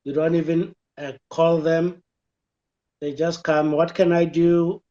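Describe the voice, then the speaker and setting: A man from South Africa speaking as a guest in a casual discussion, halting and full of fillers. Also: a little high, level tone, dark tone. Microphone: video call. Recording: dull lo-fi call audio.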